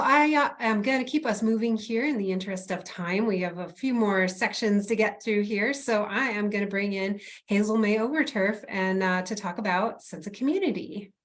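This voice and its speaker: Woman moderator, mid-pitched voice. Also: normal speed. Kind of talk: moderating an online town hall. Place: remote online meeting.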